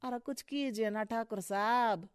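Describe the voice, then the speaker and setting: Worried cartoon villager man, voiced by a woman, pleading, slow and dry. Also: on the high side, smooth, no room sound, lightly acted.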